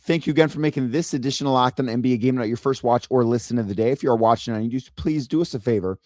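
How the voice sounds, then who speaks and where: A man with a hoarse, raspy voice hosting a sports talk show, building his points with rhetorical questions. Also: mid-range voice, racing, a little mellow, dry recording, clean studio sound. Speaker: podcast host.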